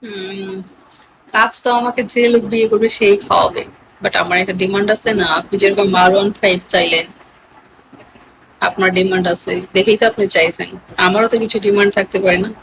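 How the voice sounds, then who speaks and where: A woman's voice: mid-range voice, fairly even intonation, a little fast, background noise. A woman with a Bangladeshi accent chatting casually with live stream viewers in a playful, teasing manner.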